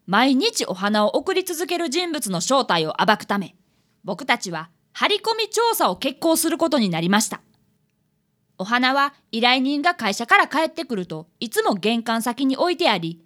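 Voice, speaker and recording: Woman voicing an eager high-pitched schoolboy apprentice detective. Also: a bit bright. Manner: polite, eager, clearly enunciated. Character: earnest, polite fifth-grade boy, apprentice detective. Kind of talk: radio drama acting and narration.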